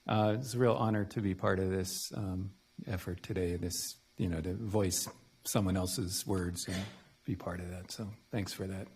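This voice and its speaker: Male volunteer reader at a public event; voice slightly on the low side. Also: fairly even intonation, moderate pace, darkish tone, a little hiss or hum. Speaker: nonprofit director, volunteer reader. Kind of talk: public reading and introduction.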